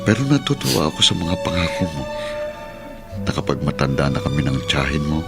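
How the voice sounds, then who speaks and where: A man's voice: very deep voice, very animated, brisk, creaky, exaggerated voice. A man acting a patient, kindly middle-aged uncle and guardian in a Filipino radio drama, speaking earnestly. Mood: gentle, reassuring. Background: background music.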